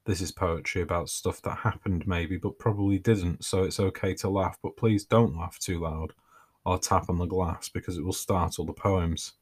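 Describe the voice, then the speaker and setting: Man, deep low voice, unhurried, dry self-mocking delivery, podcast reading. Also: steady tone, husky. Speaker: podcast host and author. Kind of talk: reading his own writing aloud.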